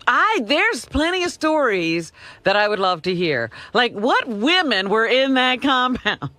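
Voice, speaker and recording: A woman co-hosting a radio talk show in a studio, chiming in with quick comments. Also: a little high, big pitch swings, bright, forceful tone, no echo. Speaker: radio co-host.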